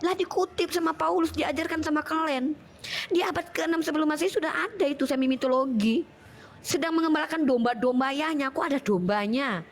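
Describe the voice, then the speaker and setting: A woman with a Medan Indonesian accent and a fairly high voice reads passages aloud and mocks them playfully. She stretches some words out theatrically. There is faint background noise. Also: brisk. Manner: playful, mocking, laughs at her own reading. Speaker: host. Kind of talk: online discussion, reading and commenting.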